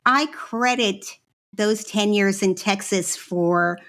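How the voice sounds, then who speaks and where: A woman's voice: mid-range voice, slow. Laughing woman guest from Israel. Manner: warm, laughs often, storytelling. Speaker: podcast guest, hospitality expert. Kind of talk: casual podcast interview.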